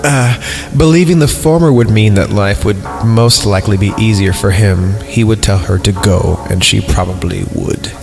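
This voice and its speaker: A man's voice: on the low side, moderate pace, gravelly, over music. A man hosting a podcast, reading a horror story aloud. He reads the punctuation out, stumbles over lines and breaks off into joking asides. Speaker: podcast host.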